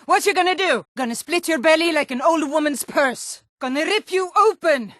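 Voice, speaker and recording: A woman's voice: high voice, expressive, normal speed, fairly bright tone, dry recording, studio quality. An actress voicing a tough Nord guard and bandit woman in a fantasy video game, stern, threatening and taunting. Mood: menacing, taunting. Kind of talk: video game character voice lines.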